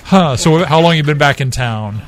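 A man's voice: on the high side, moderate pace. A man hosting a podcast, chatting casually and playfully with his co-hosts before recording, steering the others to the mics. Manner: playful, joking, directs the others. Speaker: podcast host. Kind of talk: casual pre-show chat.

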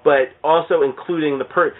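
A man's voice: a little high, steady tone, moderate pace. A man chatting casually about comics and films in a rambling, jokey way that often interrupts itself. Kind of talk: casual comics and film chat. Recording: thin phone-like podcast audio.

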